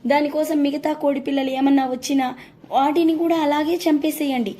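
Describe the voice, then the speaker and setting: A woman's voice: sits high, fairly even intonation, brisk, mellow, voice pushed up, close to an everyday voice. A woman voicing a stern, threatening mother hawk in a children's story, commanding and insistent. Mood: menacing, commanding. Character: stern, threatening mother hawk ordering her chicks to kill.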